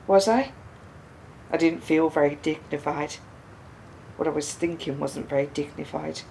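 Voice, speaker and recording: A woman's voice: measured pace, creaky. An actress in a radio play playing a bereaved, wounded woman who questions pointedly with controlled bitterness. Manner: probing, pointed, echoing words back. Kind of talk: naturalistic radio play dialogue. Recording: steady background noise, low rumble.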